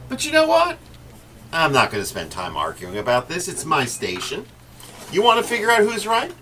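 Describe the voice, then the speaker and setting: Brash news boss, played by a man, very animated. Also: a little high, moderate pace, slight background noise, full character voice. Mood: cocky, goading. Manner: sarcastic, curt, cocky. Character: brash, dismissive male news station boss. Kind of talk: radio drama acting.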